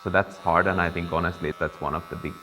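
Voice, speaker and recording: A young man from Finland, chatting casually in a barber shop and pausing to find his words. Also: low-pitched, flat delivery, bright, forceful tone, soft background music. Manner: thoughtful, searching for words. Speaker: guest, business partner. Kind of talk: casual conversation. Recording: reverberant room, faint ambience.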